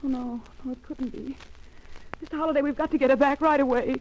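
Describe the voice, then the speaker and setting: A woman's voice: sits high, steady tone, quick pace, darkish tone, muffled recording. Frantic, pleading radio mother. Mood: alarmed. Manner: urgent, breathless pleading. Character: frantic, desperate mother of a missing child. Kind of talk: 1940s radio melodrama acting. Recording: faintly noisy vintage radio recording.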